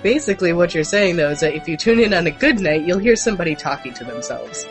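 A woman's voice: on the low side, lively intonation, quick pace, faint music behind. A woman co-hosting a podcast with casual, informal banter. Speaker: podcast co-host.

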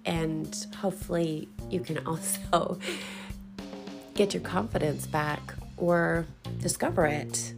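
A woman's voice: deep voice, steady tone, slow pace, vocal fry, music in the background. A middle-aged woman hosting her own podcast in a casual, rambling, self-deprecating monologue, a little congested from a recent illness. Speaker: podcast host.

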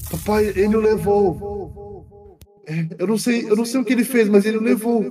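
A man's voice: high voice, fairly even intonation, moderate pace, crowd noise, voice pushed up, close to an everyday voice. A man voicing a timid childlike AI in a game, hesitant, apologetic and pleading. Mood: alarmed.

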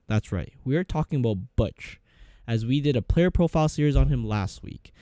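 A man's voice: mid-range voice, lively intonation, brisk, dark tone, no room sound. A young man co-hosting a podcast in loose, rambling, jokey casual chat. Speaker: podcast co-host.

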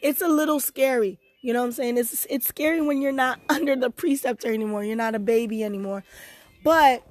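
Hoarse, higher-pitched young woman chatting. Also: brisk, dry recording. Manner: bubbly, chatty, laughs easily. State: hoarse, losing her voice with a sore throat. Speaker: host of a personal podcast. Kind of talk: casual chat.

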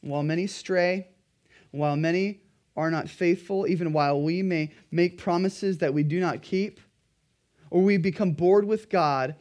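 A pastor preaching a sermon in an earnest, emphatic way, his throat a little dry and raspy. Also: high-pitched, measured pace, a little mellow.

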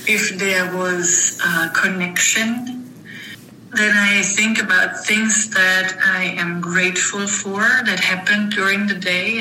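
A woman whose voice sounds very bright and clear, speaking slowly and in a flat, even tone. She answers interview questions thoughtfully and often restarts her sentences. The recording carries a noticeable room echo. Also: medium pitch, a little hiss or hum. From Germany. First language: German. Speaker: guest, author and mentor.

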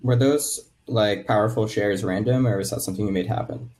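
A man's voice: on the low side, fairly quick, a little mellow. A man hosting a casual live interview, putting informal questions with some hesitant phrasing. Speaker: interviewer, meetup host.

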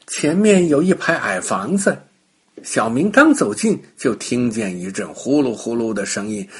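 Husky, animated male children's storyteller. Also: medium pitch. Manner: animated, unhurried, child-directed. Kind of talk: expressive bedtime story narration for children.

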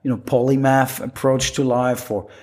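A man's voice: medium pitch, flat delivery, normal speed, a little mellow. A middle-aged man from Quebec with a French accent, a magician talking casually in an interview, rambling with many restarts and fillers. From Quebec, Canada. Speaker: guest, professional magician.

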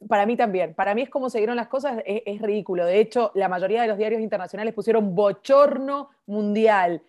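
Brief: woman co-host, animated, medium pitch. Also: fast. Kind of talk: casual sports chat.

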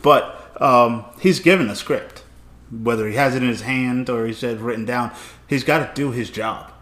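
A man co-hosting a podcast, talking in a measured, hedging way with many fillers. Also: medium pitch, fairly bright tone. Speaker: podcast co-host. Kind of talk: casual chat. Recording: echoey room.

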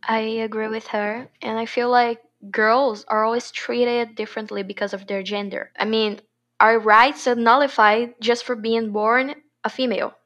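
Teenage girl, from Brazil, raised pitch, passionate, emphatic. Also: little pitch movement, moderate pace, fairly bright tone, lo-fi sound. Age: teens. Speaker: podcast guest. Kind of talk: interview answers.

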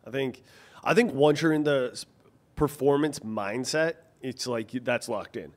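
A man's voice: mid-range voice, a little slow. A man who is a guest on a casual podcast, laid-back, reacting with short remarks and easy comments.

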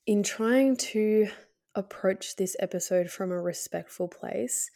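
A woman with a slightly low voice talks casually as a solo podcast host. She sounds congested from a cold, nasal and a little scratchy. Her delivery is candid and conversational, with some hesitation as she chooses her words. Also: vocal fry, mellow.

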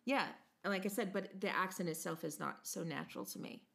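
A woman's voice: fairly low pitch, a little fast, gravelly, fairly bright tone. An American woman co-hosting a podcast, chatting casually, laughing often and slipping into playful mock accents. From United States. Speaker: podcast co-host.

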